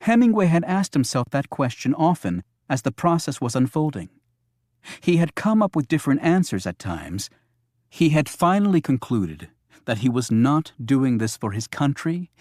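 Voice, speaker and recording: A man's voice: mid-range voice, sing-song, moderate pace, darkish tone, no room sound, clean studio sound. A man reading a thriller audiobook as scripted narration.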